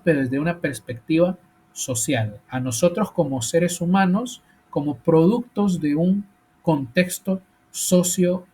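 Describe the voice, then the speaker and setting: A man's voice: a little high, darkish tone, slight background noise. A man teaching a philosophy class, thinking aloud with many fillers and rhetorical questions. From El Salvador. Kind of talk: university lecture.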